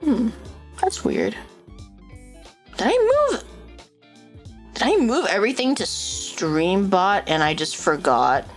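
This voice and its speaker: A woman streaming as a VTuber, chatting casually and reading stories aloud, quick to exclaim, swear and laugh. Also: medium pitch, huge pitch swings, faint music behind.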